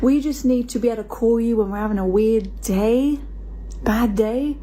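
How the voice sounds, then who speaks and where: A woman's voice: a little high, moderate pace, warm tone, slight background noise. A woman making an emotional, pleading direct appeal in a repetitive, insistent way. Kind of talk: emotional direct-to-camera social media appeal.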